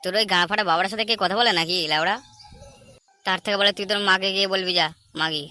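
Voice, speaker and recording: A man's voice: sits high, fast talker, sharp tone. A man voicing a cocky, hot-headed young slacker in an amateur comedy cartoon, brash, crude and slangy. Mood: irritated.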